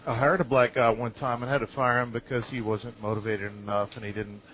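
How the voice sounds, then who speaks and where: A man talking casually on a live talk show, telling anecdotes in a halting way and often repeating words. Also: mid-range voice, little pitch movement. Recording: dull lo-fi audio with clear noise.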